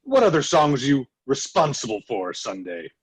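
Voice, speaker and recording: A man's voice: medium pitch, sing-song, moderate pace, slightly rough. A man playing a mocking, sceptical outlaw rider with a folksy drawl in a western table read.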